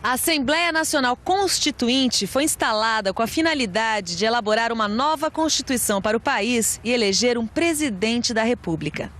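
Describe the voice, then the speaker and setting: A woman's voice: on the high side, expressive, normal speed, hardly any pauses, fairly bright tone. A woman with a Brazilian accent reading a scripted historical documentary narration.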